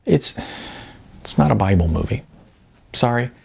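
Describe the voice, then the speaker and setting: A narrator voicing a nervous, earnest young woman who makes arty indie films and speaks haltingly. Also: medium pitch, expressive, creaky, breathy edge, exaggerated voice. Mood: awkward. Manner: hesitant, halting, fidgety.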